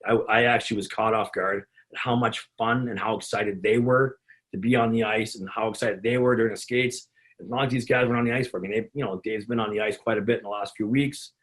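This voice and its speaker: A man with a gravelly voice, a hockey conditioning coach giving an instructional talk to other coaches, rambling with repeated words and restarts. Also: on the low side, steady tone, fairly quick, a bit bright, rough recording.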